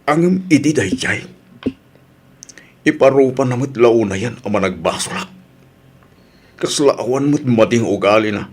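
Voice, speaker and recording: An actor with a Filipino accent playing a remorseful, aggrieved husband in a radio drama, pleading and emotive. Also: male voice, medium pitch, expressive, unhurried, pauses often, a little mellow, husky. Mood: dejected, aggrieved.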